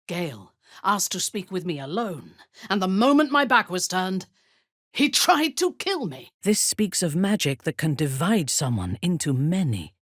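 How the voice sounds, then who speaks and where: Imperious female dark elf warlord for a fantasy game: slow, husky delivery, coldly menacing, ruthless and formal. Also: lowish voice, very animated, no room sound, clean studio sound. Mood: haughty. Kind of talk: video game character dialogue.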